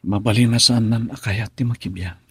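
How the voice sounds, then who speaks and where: An actor playing a troubled, lovelorn young husband in a radio drama, speaking in a raspy, halting, emotional way. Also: male voice, on the low side, steady tone, no echo. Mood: troubled, hesitant.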